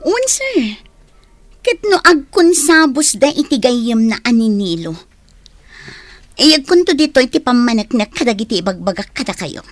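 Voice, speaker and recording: A high, dry-sounding voice plays a hot-tempered, accusing office woman. She speaks with an Ilocano accent in radio drama style, with animated swings of pitch. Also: moderate pace, dry recording, faint music behind. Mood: defiant.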